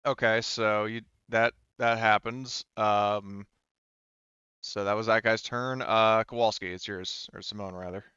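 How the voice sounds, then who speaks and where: Low smooth-voiced man running a game. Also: steady tone, unhurried, pushed and bright, no echo, highs cut off. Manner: informal, occasionally swearing. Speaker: game master of a tabletop RPG. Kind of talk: casual game-running chat over rules and dice.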